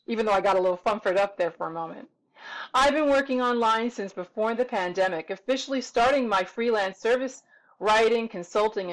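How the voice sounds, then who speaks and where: High-voiced middle-aged American woman. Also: rough recording. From New York City, United States. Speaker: tutor and writing consultant. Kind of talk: scripted self-promotional introduction to camera. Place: small room at home, indoors.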